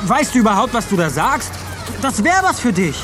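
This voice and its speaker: A teenage schoolboy zealot in a radio drama. His voice is very high and swings widely, officious and insistent, with some music behind it. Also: very animated, moderate pace, a crowd in the background. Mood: pushy, menacing. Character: zealous teenage schoolboy, self-appointed bodyguard turned bully. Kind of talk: German radio play acting.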